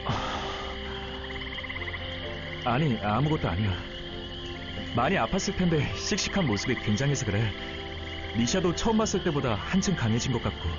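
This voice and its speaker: A man voicing a gentle, thoughtful and protective young companion in a Korean game, earnest and caring. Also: mid-range voice, very animated, moderate pace, long pauses, lo-fi sound, loud music behind the voice, close to an everyday voice. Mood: gentle, reassuring. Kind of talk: Korean game character voice acting.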